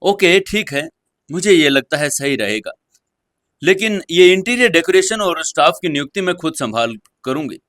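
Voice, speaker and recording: A man voicing a calm, self-assured young woman in an audio story, speaking softly but decisively and plainly. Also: fairly high pitch, moderate pace, pitched above the performer's own voice. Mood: calm, decided. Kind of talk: Hindi audio story dialogue.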